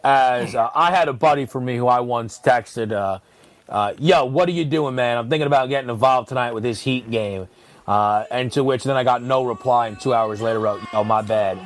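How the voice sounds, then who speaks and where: A man's voice: medium pitch, normal speed, faint music behind. A man co-hosting a sports radio show, teasing his partner in a dry, casual way.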